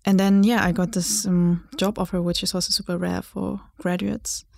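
A woman's voice: lowish voice, little pitch movement, normal speed, gravelly, a little mellow, no echo, studio quality. A young woman from Germany whose first language is German, a journalist and writer, talking reflectively in a casual interview and laughing often.

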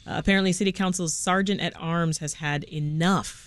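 A radio host leading a news roundtable from a studio, briskly steering the talk between guests. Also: medium pitch, fairly even intonation, fairly bright tone, no room sound.

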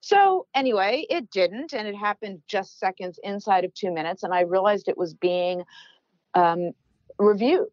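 A middle-aged woman with a naturally loud voice tells a story as a podcast guest, getting more and more worked up. Her voice sits mid-range. The sound is dry and a bit dull, as if slightly lo-fi. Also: mid-range voice, moderate pace, dry recording. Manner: loud, animated, gets worked up. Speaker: podcast guest, former team executive. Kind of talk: storytelling in conversation.

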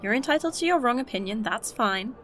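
A woman's voice: on the high side, lively intonation, brisk, fairly bright tone, a little hiss or hum. An actress playing a blunt, joking and curious woman in the 1980s, in an indie audio drama.

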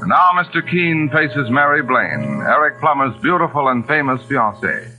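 A man's voice: medium pitch, big pitch swings, normal speed, rough recording. A man announcing scenes in scripted 1940s radio narration. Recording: old radio recording. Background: loud music.

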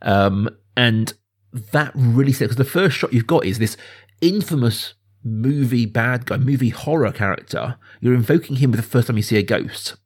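A man in his thirties chats about film as a podcast host. His voice sits at an average pitch with some huskiness. He is enthusiastic and emphatic, often restating a point to build it up. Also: moderate pace, slightly rough. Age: 30s. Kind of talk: casual film discussion.

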